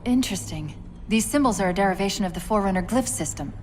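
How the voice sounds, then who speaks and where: An actress voicing a calm, precise female AI companion in a video game cutscene. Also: medium pitch, quick pace, natural voice. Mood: analytical. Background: music.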